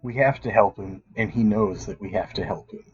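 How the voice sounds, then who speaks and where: A man's voice: on the low side, normal speed, husky. A man playing a proud, brooding nobleman mage in a tabletop game, formal and indignant, on slightly dull audio. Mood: wounded pride.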